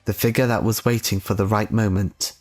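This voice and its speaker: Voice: mid-range voice, fairly even intonation, fairly quick, faint music behind. An audiobook narrator reading a scripted story in a dramatic style.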